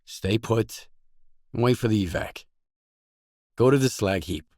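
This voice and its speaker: A man playing a gruff, world-weary stalker in a video game, speaking tersely and bluntly in a low-key way. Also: expressive, measured pace, darkish tone, no echo, studio quality. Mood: dry, guarded. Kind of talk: scripted game dialogue lines.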